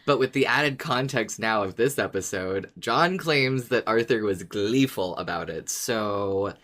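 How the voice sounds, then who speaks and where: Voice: medium pitch, animated, a little slow, fairly bright tone. A podcast co-host in casual discussion, agreeable and repeating phrases for emphasis.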